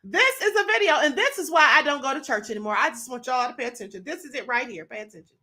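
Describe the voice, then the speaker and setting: A woman hosting her own commentary show, reacting to videos in a sassy, animated way and laughing often. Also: sits high, quick pace. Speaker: show host.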